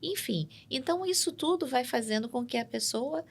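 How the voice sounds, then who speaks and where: A woman psychologist, explaining ideas in a measured way on a studio podcast and often checking in with "né?". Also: high-pitched, lively intonation, gravelly, breathy edge. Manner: measured and explanatory, tags points with "né?". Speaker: psychologist guest. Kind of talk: explanatory interview talk.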